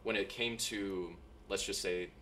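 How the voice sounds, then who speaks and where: Deep-voiced American man interviewing. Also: steady tone, a little slow, pushed and bright, a little hiss or hum. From United States. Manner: long rambling questions. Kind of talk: podcast interview.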